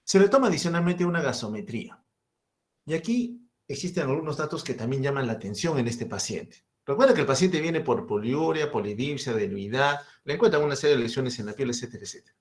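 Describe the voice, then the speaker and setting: A man's voice: mid-range voice, animated, fast. A man in his fifties with a Peruvian Spanish accent, giving a medical lecture and asking and answering his own questions. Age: 50s.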